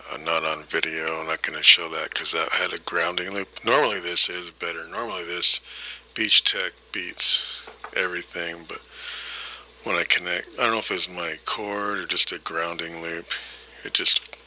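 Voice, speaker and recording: A man's voice: deep voice, steady tone, moderate pace, sharp tone. A man thinking aloud in a casual, unscripted hobbyist demonstration, rambling and tentative, speaking through an old tape-recorder microphone. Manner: rambling, tentative, self-deprecating. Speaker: hobbyist vlogger demonstrating vintage audio gear. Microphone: old reel-to-reel recorder microphone. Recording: noisy vintage tape-recorder microphone, hiss.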